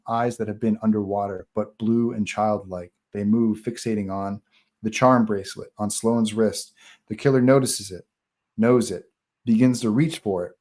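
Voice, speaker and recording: A man's voice: on the low side, darkish tone. A man narrating the stage directions of a horror script at a live online table read. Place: online group call.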